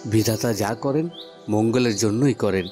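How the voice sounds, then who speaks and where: A man's voice: on the low side, normal speed, mellow, natural voice. A man voicing a good-natured, honest young village milk seller in a cartoon. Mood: contented. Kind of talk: cartoon voice acting. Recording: music and birdsong behind the voice.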